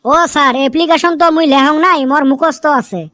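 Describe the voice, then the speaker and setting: A cheeky cartoon village schoolboy with an extremely high, piercing voice. The tone is bright and sharp, wheedling with mock innocence in a Rajbongshi accent. The sound is close and dry. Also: female voice, quick pace, no echo. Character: cheeky, scheming village schoolboy pupils, high-pitched and childlike. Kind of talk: Bengali comedy cartoon dubbing.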